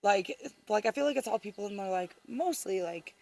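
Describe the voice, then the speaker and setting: A woman's voice: mid-range voice, expressive, fairly quick, gravelly, darkish tone, recorded from a distance. A woman from Chicago, a guest on a podcast, telling casual stories with swearing and acted-out quotes. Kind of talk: casual chat.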